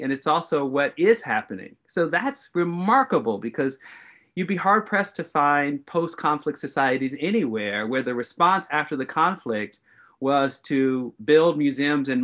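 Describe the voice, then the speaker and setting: Man, middle-aged, from the united states, deliberate, thoughtful, mid-pitched voice. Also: a bit bright. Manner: measured, deliberate, restarts phrases. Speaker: guest historian. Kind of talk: thoughtful interview answers.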